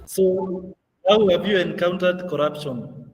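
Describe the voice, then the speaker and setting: A man's voice: high voice, a little slow, long reverb tail, far from the mic, a little hiss or hum. A man moderating an online youth training session, talking conversationally with plenty of fillers. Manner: conversational, many fillers, laughs easily.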